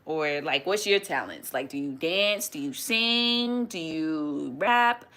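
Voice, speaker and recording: A woman, hosting a casual live stream from home, chatty and quick to laugh, often restarting her sentences as she answers viewer comments. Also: low voice, expressive, fairly bright tone. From New York City, USA. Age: 30s. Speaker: livestream host.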